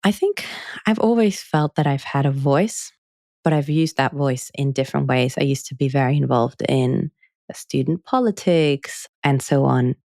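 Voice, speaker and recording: Gravelly low-voiced woman, articulate guest. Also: a little mellow, no echo, clean studio sound. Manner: articulate, measured, wry when telling anecdotes. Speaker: author and journalist guest. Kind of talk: podcast interview answers.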